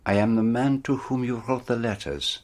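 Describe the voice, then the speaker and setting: An actor playing a proud, shrewd detective with a Belgian French accent in a radio play, speaking formally and precisely. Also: male voice, mid-range voice, fairly even intonation, moderate pace, a little mellow, natural voice. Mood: imperious.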